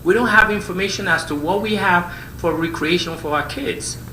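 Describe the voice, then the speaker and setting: Bright-voiced impassioned man at public comment. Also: high-pitched, roomy sound, a little hiss or hum, poor audio. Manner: impassioned, halting, pleading. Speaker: resident speaking at public comment. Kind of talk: public comment at a council meeting. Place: township meeting hall.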